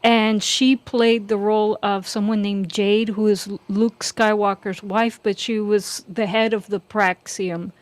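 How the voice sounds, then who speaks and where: A woman's voice: mid-range voice, level tone, a little slow, hardly any pauses, no echo. A woman co-hosting a livestream in casual, conversational chat.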